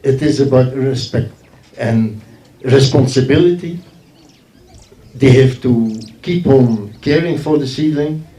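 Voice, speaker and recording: A man's voice: medium pitch, takes it slowly, pauses often, warm tone. A man giving an informal public speech as a guest at a ceremony, emphatic and repeating phrases for effect. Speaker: guest speaker from a forestry organisation. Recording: echoey speech heard from far off.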